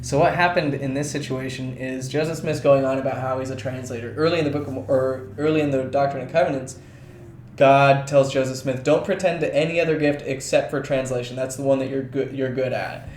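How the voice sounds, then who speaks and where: A man's voice: medium pitch, steady tone, brisk, darkish tone. A man co-hosting a casual chat, retelling stories irreverently with mock quotes and casual swearing. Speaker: co-host. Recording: roomy echo, faint background noise.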